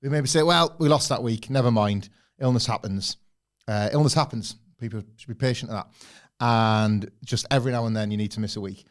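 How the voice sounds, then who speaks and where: A man's voice: medium pitch, a little fast. A man co-hosting a wrestling podcast, talking enthusiastically in long rambling takes. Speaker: podcast co-host. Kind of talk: casual podcast chat.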